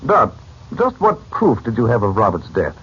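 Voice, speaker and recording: An actor playing a calm, authoritative uncle versed in mysticism, measured and explanatory, in 1940s radio serial style. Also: male voice, medium pitch. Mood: thoughtful. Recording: dull old radio recording, faint noise.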